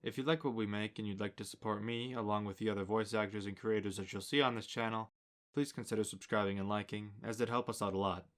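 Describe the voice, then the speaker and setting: A man's voice: lowish voice, steady tone, quick pace. A man giving a scripted introduction as the host of a channel.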